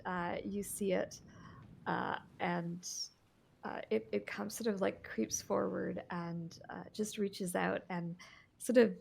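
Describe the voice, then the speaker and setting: Woman, game master, tabletop narration, heavy vocal fry, halting delivery, filler words. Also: fairly low pitch. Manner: halting, descriptive, many fillers and restarts.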